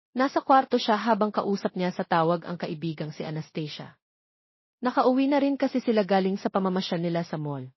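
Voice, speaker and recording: A woman's voice: mid-range voice, husky. A woman reading a romantic story as a scripted audiobook narration. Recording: roomy, slightly dull recording.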